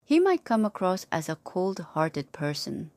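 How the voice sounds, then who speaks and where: A woman's voice: fairly low pitch, measured pace. A woman's AI-generated voice reading sentence drills for a language lesson, evenly and carefully. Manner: even, careful read-aloud of repeated sentences. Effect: AI text-to-speech voice.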